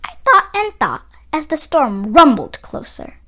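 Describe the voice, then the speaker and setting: A woman's voice: sits high, wildly swooping pitch, sharp tone. A woman narrating a children's storybook expressively, with dramatic emphasis. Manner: dramatic, drawn-out emphasis on sound words. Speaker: children's read-aloud narrator.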